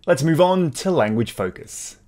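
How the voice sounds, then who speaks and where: A man's voice: fairly high pitch, animated, moderate pace, darkish tone, soft background music. A man who is a native English speaker, teaching an explanatory language lesson and switching between English and Korean for translations.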